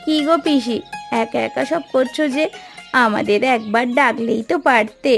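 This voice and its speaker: A nosy village aunt coaxes and nudges in a gravelly, slightly high voice, voiced by a woman for a cartoon. Loud music plays underneath. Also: moderate pace. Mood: persuasive. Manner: coaxing, busybody. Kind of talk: Bengali cartoon dubbing. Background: loud background music.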